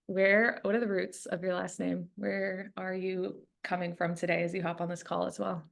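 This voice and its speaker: A woman's voice: fairly low pitch, little pitch movement, moderate pace, vocal fry. A woman hosting an interview podcast, earnest and encouraging, asking long open questions. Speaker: podcast host, coach.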